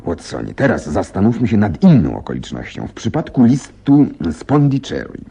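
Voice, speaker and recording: A deep, commanding detective, lively delivery. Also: male voice, gravelly, husky, full character voice. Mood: animated. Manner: deliberate, repeats phrases, instructing, pauses to think. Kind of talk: Polish radio drama acting.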